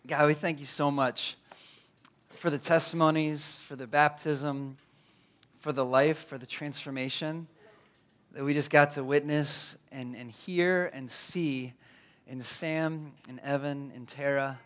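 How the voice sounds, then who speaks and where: A hoarse, raspy-voiced man in his thirties, a pastor praying and then preaching, who often stumbles and repeats words. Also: a little high, fairly even intonation, a little slow, fairly bright tone. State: hoarse from a cold. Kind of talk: prayer and sermon.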